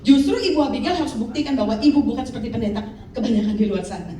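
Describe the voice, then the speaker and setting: A woman in her fifties preaching a lively sermon, calling out to her congregation for responses. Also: a little high, very fast, crowd noise. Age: 50s. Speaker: pastor. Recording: echoey hall, mic from far off.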